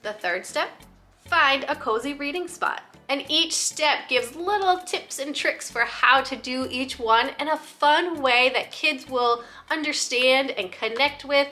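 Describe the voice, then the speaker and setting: A woman with a high, very bright voice speaks as a teacher in an instructional video. She explains each idea clearly and encouragingly, with music playing behind her. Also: a little slow. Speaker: teacher giving a lesson to other teachers.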